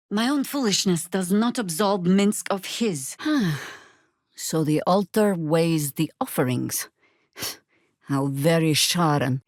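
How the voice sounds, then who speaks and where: A woman voicing a battle-weary, middle-aged druid in a fantasy video game, dry and wry, speaking in measured, formal phrases. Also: low voice, no echo. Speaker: video game companion character.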